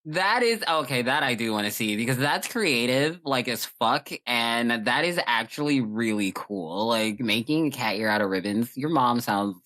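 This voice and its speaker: A woman's voice: very deep voice, expressive, normal speed, hardly any pauses, fairly bright tone. A woman co-hosting a podcast in casual chat, talking in an effusive, chatty, slangy way. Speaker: podcast co-host.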